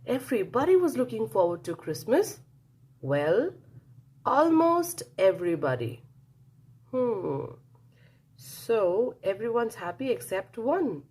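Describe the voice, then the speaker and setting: A woman reads a storybook aloud for young children. Her delivery is slow and very animated, with a slightly husky voice. She tells it dramatically and draws the listeners in. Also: fairly high pitch. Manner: dramatic, engages listeners with questions.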